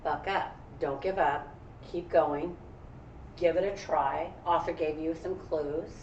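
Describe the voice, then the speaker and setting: A woman with a slightly low voice talks like a school educator, casual, encouraging and explanatory. The recording is dull and echoey, picked up from a little way off. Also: steady tone, slow pace, a little mellow. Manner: encouraging, conversational. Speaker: elementary educator co-hosting a read-aloud. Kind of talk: casual explanatory chat for children. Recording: echoey room, distant dull mic, faint noise.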